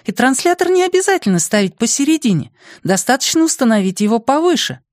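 A man's voice: very high-pitched, big pitch swings, fast talker, no room sound, voice pushed up. A clever young girl from an audiobook story, as a male narrator puts her on. Her voice is lifted well up and moves freely, with a thoughtful, tentative edge over some toughness. The sound is dry. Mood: earnest, reasoning.

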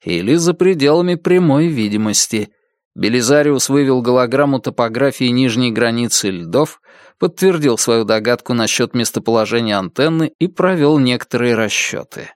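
A man reading an audiobook in an even, clear storytelling voice on a close mic. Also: medium pitch, normal speed, dry recording. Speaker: narrator. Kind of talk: audiobook narration.

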